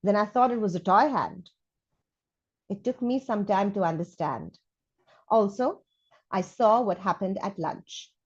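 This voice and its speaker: Lady reading as a grumpy curious school kid in a storybook, expressive and complaining, kinda dull lo-fi sound. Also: mid-range voice, a little slow, long pauses, close to an everyday voice. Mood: curious, candid. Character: resentful, curious schoolchild writing letters to a new classmate. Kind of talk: read-aloud children's storybook.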